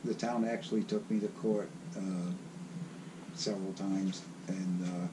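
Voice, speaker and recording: Older man, raspy voice, deep, halting storyteller, clear background hiss. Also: fairly even intonation. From South Carolina. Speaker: interviewee. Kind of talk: casual reminiscing.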